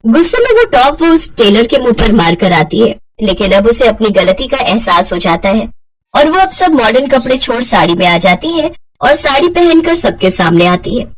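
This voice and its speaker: Female narrator for scripted family fables: lively intonation, raised pitch, slight noise behind. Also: normal speed, poor audio. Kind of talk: scripted moral story narration.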